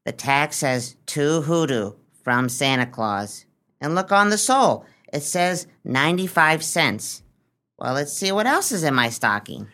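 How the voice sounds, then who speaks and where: A woman's voice: deep voice, very animated, unhurried, fairly bright tone, full character voice. A woman playing an innocent, hopeful young boy in a comic radio play, speaking in an earnest, childlike way. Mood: let down.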